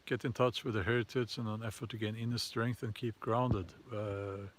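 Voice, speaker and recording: A man with a Norwegian accent hosting a casual live chat and answering viewer comments, talking haltingly with many fillers and restarts. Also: fairly low pitch, fairly even intonation, noisy background. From Norway.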